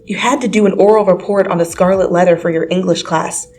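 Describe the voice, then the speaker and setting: A woman's voice: lowish voice, fairly even intonation, fast, a little mellow, slight background noise. A woman reading a story aloud as scripted, dramatic second-person narration. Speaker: fan fiction reader. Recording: music under the voice.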